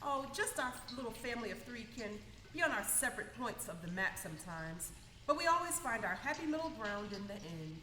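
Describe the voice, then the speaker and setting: Woman acting a protective single mom, forceful bright tone, dramatic, forthright, radio comedy, echoey hall. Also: a little high, big pitch swings, a little fast, faint music behind. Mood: calmer, apologetic. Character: feisty, protective single mother and TV newswoman. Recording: echoey hall, faint background noise.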